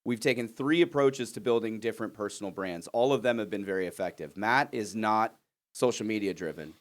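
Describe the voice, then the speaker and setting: A man's voice: lowish voice, fairly quick. A man hosting a podcast in casual chat, with a teasing, bantering manner. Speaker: podcast host.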